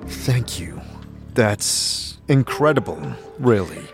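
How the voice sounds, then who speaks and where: A man's voice: medium pitch, lively intonation, slow, music in the background. A man playing an earnest, curious wandering adventurer who speaks plainly and directly. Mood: grateful, rueful.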